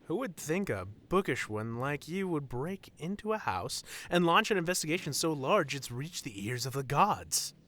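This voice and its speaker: A man's voice: on the high side, sing-song, normal speed, hardly any pauses, fairly bright tone. A man playing a proud, playful wedding officiant with a theatrical, formal manner in an audio roleplay drama. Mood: proud, teasing.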